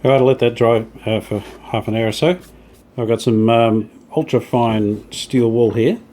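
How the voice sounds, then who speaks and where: A man's voice: mid-range voice, fairly even intonation, moderate pace, mellow. A man casually talking through hands-on work as he does it, understated and a little tentative, thinking aloud. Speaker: host of a hobby radio restoration channel. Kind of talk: casual hands-on workshop narration while working. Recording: clear background noise, sanding and rubbing sounds. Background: rubbing and sanding while working.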